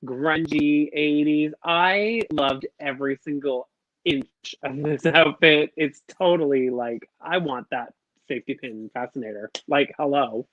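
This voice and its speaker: A bubbly man with a fairly high voice, gushing his way through a casual review chat as co-host. The sound is dull and lo-fi, dry and close. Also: measured pace, no room sound. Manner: bubbly, gushing, full of "like" fillers. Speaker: podcast co-host.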